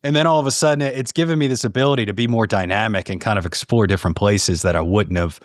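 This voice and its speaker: A man with a deep, low voice chats as a podcast guest. The recording is dry, with no room sound, and his talk is informal and conversational. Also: fast, dry recording. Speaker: podcast guest, audio storyteller.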